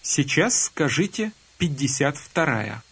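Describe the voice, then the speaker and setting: A man's voice: on the high side, very animated, slow, fairly bright tone, slightly rough. A man narrating a language course in a scripted, instructive way, prompting the listener to listen and repeat.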